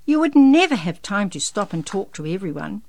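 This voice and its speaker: A woman's voice: medium pitch, big pitch swings, normal speed, a little mellow, dry recording. A woman voicing an eager, polite little boy in a children's story. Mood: grateful. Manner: eager, wide-eyed.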